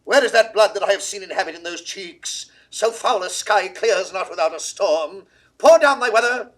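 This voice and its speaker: A man's voice: sits high, moderate pace, fairly bright tone. A man acting a proud, uneasy English king in a classical Shakespeare audio drama, with stately, declamatory, theatrical delivery. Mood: guilty, brooding.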